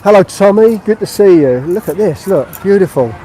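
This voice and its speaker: A man's voice: high-pitched, lively intonation, moderate pace, mellow, a little hiss or hum, poor audio. A middle-aged man from England, chatting casually on a live-stream walking tour of a street market. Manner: chatty, friendly, narrating while walking. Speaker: vlogger.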